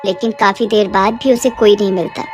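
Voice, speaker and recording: A woman's voice: mid-range voice, steady tone, a little fast, a little mellow, soft background music. A woman narrating a scripted cartoon horror bedtime story.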